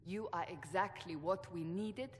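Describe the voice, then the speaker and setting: A woman's voice: fairly low pitch, measured pace, natural voice. A woman playing a stern, devout, commanding warrior in a dramatic fantasy video game. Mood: firm, resolute. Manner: commanding, emphatic. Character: stern, forceful, devout female warrior Seeker. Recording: reverberant hall, faint background noise.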